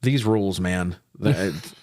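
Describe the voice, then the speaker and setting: A man co-hosting a casual movie review, talking in a slangy, sweary, animated way with comic exasperation. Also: deep voice, takes it slowly. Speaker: podcast co-host reviewing movies. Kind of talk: casual profane comedic movie recap chat.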